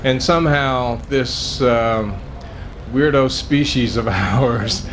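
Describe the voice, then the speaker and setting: Male panelist, steady tone, noisy tent. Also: medium pitch, slow pace. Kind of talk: panel discussion answer. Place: large tent at an outdoor event. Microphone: table mic on a stand.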